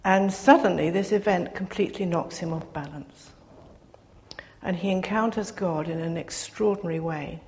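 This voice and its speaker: Soft-spoken woman preaching a gentle sermon. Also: fairly low pitch, moderate pace, slight background noise. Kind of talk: personal sermon to a congregation.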